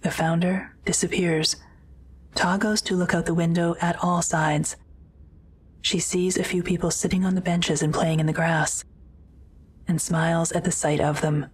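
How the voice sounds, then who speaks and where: A woman's voice: on the low side, steady tone, normal speed, a little hiss or hum. A woman reading scripted, present-tense narration for an audio drama.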